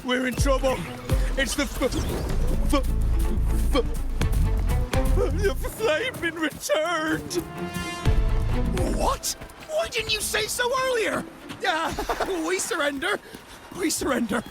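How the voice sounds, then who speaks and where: Hammy high-pitched pirate. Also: male voice, sing-song, loud music behind the voice, exaggerated voice. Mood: panicked. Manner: hammy, bombastic, drawn-out. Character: grandiose, blustering male pirates, comic and excitable. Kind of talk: theatrical game cutscene villain acting.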